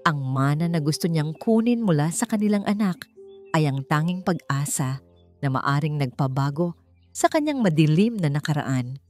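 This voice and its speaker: A woman's voice: low voice, expressive, normal speed, a little mellow. A woman narrating a scripted romance drama in a solemn, emotive storytelling manner. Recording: music under the voice.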